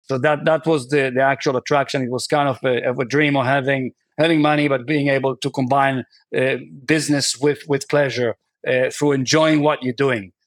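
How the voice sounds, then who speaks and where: A man's voice: medium pitch, fairly even intonation, normal speed, no room sound. A man from Israel, a guest on a podcast, answering questions in a conversational way, with many fillers and restarts. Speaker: podcast guest, real estate investor.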